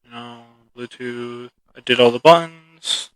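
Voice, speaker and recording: A man with a bright, sharp voice talks loosely through a gadget review, thinking aloud and trailing off. The sound is dry and close, with no room around it. He speaks into a cheap microphone. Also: mid-range voice, unhurried, clear, resonant tone, dry recording, rough recording. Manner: rambling, relaxed, thinking aloud. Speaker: product reviewer. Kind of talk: casual unscripted product review. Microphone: cheap mixer-connected microphone.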